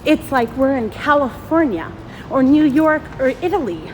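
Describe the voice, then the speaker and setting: A woman with a loud voice, firmly and insistently arguing with hospital staff, recorded on a phone. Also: sits high, animated, normal speed, a little hiss or hum, poor audio. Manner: firm, insistent, argumentative. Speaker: patient's companion, filming a confrontation. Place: hospital unit and hallway. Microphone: handheld phone recording.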